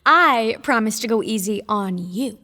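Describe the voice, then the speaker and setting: A woman's voice: a little high, lively intonation, measured pace, sharp tone. A woman playing a bold, curious young newcomer in an audio drama, inquisitive and drily sardonic. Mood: excited, bold. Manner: inquisitive, dry, challenging. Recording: reverberant hall-like sound.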